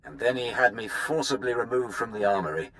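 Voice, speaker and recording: Sharp, swooping male weapons master acted by a man. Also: medium pitch, animated, fairly quick, slight background noise, close to an everyday voice, poor audio. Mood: wry, aggrieved. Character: eccentric military weapons master, wry, aggrieved, proud tinkerer. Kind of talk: video game character dialogue.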